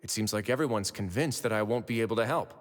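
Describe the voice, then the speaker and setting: An actor playing a composed, shrewd man of authority in a video game, measured, articulate and dryly wry. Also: fairly low pitch, a little hiss or hum, lightly acted. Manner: measured, articulate, deliberate explaining. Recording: long reverb, faint music behind.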